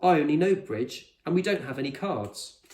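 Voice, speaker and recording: Storybook schoolgirl, played by a man: prickly, awkward, exclamatory, lifted pitch, echoey room. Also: animated, moderate pace, a little mellow, lightly acted. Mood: defensive, reluctant. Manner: suspicious, hesitant, exclamatory. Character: awkward, defensive, easily alarmed young schoolgirl.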